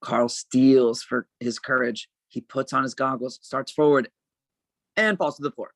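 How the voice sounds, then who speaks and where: A narrator reads stage directions for a script table read. The delivery is plain and descriptive, in a mid-pitched voice with a very dry, close sound. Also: fairly even intonation, unhurried, no echo.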